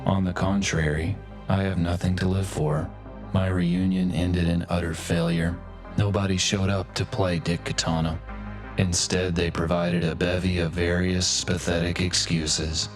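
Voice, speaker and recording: An actor playing a pathetic, self-loathing office drone in a video game, speaking in a stilted, formal, morose deadpan. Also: male voice, sits low, a little slow, soft background music. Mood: despairing, deadpan. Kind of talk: video game voice acting, melodramatic monologue.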